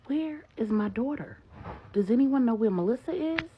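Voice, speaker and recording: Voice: mid-range voice, lively intonation, normal speed, phone-quality sound, pitched above the performer's own voice, natural voice. Storyteller acting worried man, indignant father, protesting, explanatory, audio story, slight background noise. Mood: indignant, alarmed. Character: Marcus, indignant, worried father, a bit drunk.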